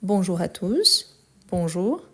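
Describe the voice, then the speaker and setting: A woman teaching French in a live online lesson, speaking slowly and clearly and repeating phrases for learners. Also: lowish voice, very animated, fairly bright tone. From France and Brazil.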